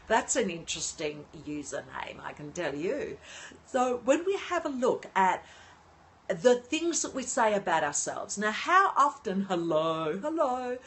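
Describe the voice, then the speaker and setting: An older woman hosting a casual livestream from her apartment on her phone, often pausing to greet and thank viewers. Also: a little high, sharp tone. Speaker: livestream host. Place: at home in an apartment.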